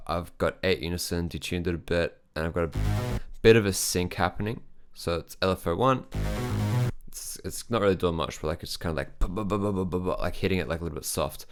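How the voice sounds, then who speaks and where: A young man, a music producer, casually explaining his synth sound design, thinking aloud with lots of fillers. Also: deep voice. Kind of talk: casual software tutorial.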